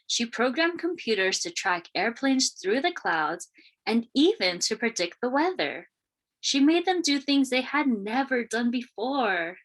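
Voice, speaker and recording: A woman reading a children's picture book aloud in an expressive, deliberate storytelling manner. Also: a little high, low-quality recording. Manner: expressive, questioning lifts and deliberate phrasing. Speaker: read-aloud storyteller, teacher.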